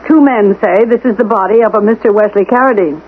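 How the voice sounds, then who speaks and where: A woman's voice: mid-range voice, fast talker, lightly acted. An actress playing a composed, persistent amateur sleuth in a radio drama, speaking precisely and insistently as she challenges and probes. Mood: probing. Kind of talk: 1970s radio drama acting. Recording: tinny, noisy old radio broadcast recording.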